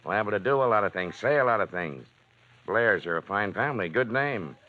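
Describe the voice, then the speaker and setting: A man's voice: lowish voice, lively intonation, brisk, lightly acted. An actor playing a male police detective in an old radio drama, probing and casually menacing. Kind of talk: 1940s radio acting. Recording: dull old radio recording.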